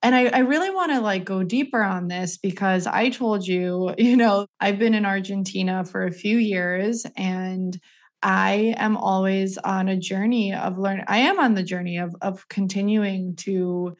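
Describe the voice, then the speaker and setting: A woman's voice: medium pitch, measured pace. A woman hosting an interview podcast, chatty and enthusiastic, affirming her guest as she talks. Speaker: podcast host. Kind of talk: casual interview talk.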